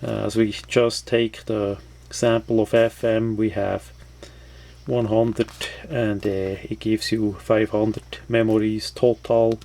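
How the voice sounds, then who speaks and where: A man with as his language talks through a product in a casual, unscripted way. His delivery is slow and halting, with very little rise and fall in pitch. A light layer of noise sits behind his voice. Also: flat delivery, creaky, warm tone. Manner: halting, hesitant phrasing. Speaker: product reviewer.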